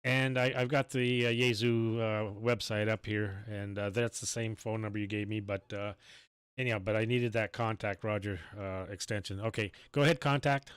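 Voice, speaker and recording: Low, clear-voiced man, very dry sound. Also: steady tone, moderate pace, dry recording. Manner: rambling, searches for words. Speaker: amateur radio operator. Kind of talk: casual on-air radio chat.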